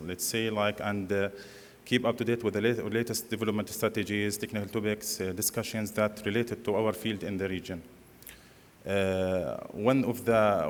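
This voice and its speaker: A man chairing a conference session, announcing the next panel with many hesitations. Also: lowish voice. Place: large conference hall. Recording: long hall reverb with faint noise.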